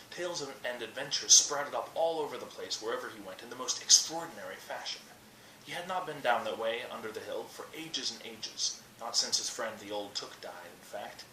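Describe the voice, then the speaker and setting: A man's voice: on the low side, sharp tone. A young man reading a bedtime story aloud from a book, recorded at arm's length in a small room. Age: young adult. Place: small indoor room by a curtain. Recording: roomy, distant webcam mic, faint noise.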